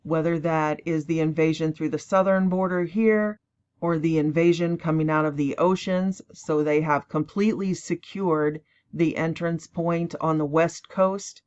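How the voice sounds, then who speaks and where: A tired woman rambling emphatically as she introduces a clip, breaking into quick mocking asides. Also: sits low, steady tone, darkish tone. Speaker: online prophecy channel host. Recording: dull lo-fi recording.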